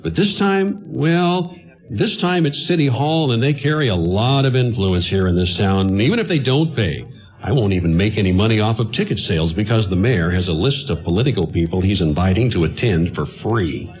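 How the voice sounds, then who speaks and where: A man acting a middle-aged theater owner in a radio comedy play, an earnest salesman who flatters and pleads and gets flustered. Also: on the low side, normal speed, natural voice. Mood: apologetic, earnest. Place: stage before an audience.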